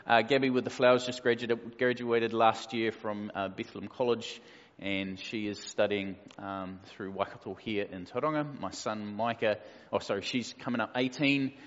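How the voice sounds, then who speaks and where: A man's voice: fairly low pitch, steady tone, moderate pace. A man in his forties with a New Zealand accent, a pastor talking casually to his church, easygoing and joking with plenty of fillers. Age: 40s. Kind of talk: casual church welcome talk. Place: church hall. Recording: echoey hall, dull lo-fi sound.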